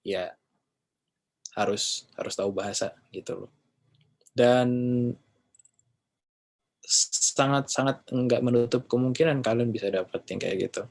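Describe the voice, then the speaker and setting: A man's voice: medium pitch, measured pace, long pauses, darkish tone. A man giving a casual class talk, rambling and often correcting himself with chatty fillers. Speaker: English class speaker.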